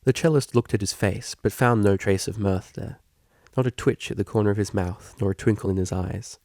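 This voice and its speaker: A man reading scripted fiction aloud as a narrator, with a creaky voice. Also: lowish voice, brisk, darkish tone, no room sound, studio quality. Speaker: audiobook reader.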